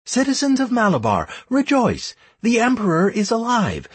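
A man voicing a formal, declamatory game system announcer in a fantasy audiobook, making brisk proclamations. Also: very high-pitched, huge pitch swings, husky, no room sound, voice pushed up, exaggerated voice. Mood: jubilant proclamation.

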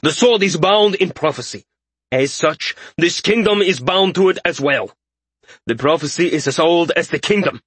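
A man's voice: fairly high pitch, lively intonation, normal speed, fairly bright tone, breathy edge, dry recording, voice pushed up. A man voicing a proud, fanatical middle-aged king in a fantasy audiobook, speaking formally and with imperious emphasis. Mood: fervent, insistent.

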